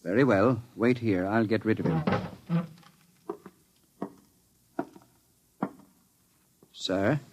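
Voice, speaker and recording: Husky older actor as secretive professor. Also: male voice, on the low side, moderate pace, darkish tone, close to an everyday voice. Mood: indignant, guarded. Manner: formal, pedantic. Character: secretive, proud professor, guarded inventor, older man. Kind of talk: 1950s radio drama acting.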